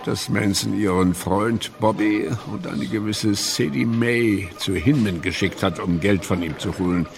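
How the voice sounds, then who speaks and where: Police investigator, lowish and heavily creaky, measured docudrama testimony. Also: male voice. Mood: sober, matter-of-fact. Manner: matter-of-fact, measured recounting. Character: sober male police detective giving his account. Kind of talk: German docudrama radio play testimony.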